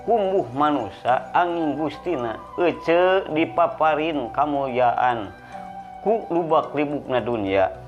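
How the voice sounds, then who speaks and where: A poor, humble debtor man acted by a male storyteller speaks slowly with a Sundanese accent. He is patient, pleading and preachy, over clearly audible music in a folk tale. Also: mid-range voice, muffled recording. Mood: humble, admonishing.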